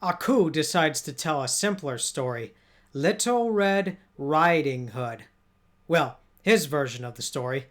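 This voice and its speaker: A man with a voice a little higher than usual narrates a scripted episode recap. He retells the story step by step as the narrator of a video essay. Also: expressive, a little slow.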